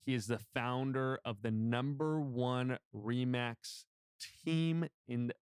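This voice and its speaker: A man hosting a podcast, upbeat, with quick interjections. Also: medium pitch, steady tone, smooth, no echo, studio quality. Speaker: podcast host. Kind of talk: casual interview.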